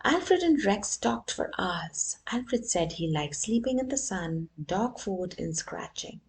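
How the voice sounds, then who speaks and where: A woman's voice: mid-range voice, lively intonation, slow pace. A woman reading a children's story aloud and often stopping to ask her young listeners questions.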